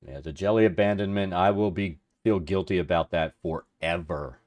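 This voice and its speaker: A middle-aged man with a deep radio-like voice, chatting casually and thinking aloud as he hosts a livestream for his viewers. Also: moderate pace, a little mellow. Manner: rambling, informal, thinking aloud. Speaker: livestream host. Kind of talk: casual chat with stream viewers while working.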